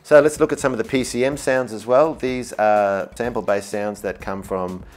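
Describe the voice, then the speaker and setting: A man's voice: lowish voice, steady tone, normal speed. A man presenting a product demonstration in an upbeat, enthusiastic way. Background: music, demonstrated keyboard sounds.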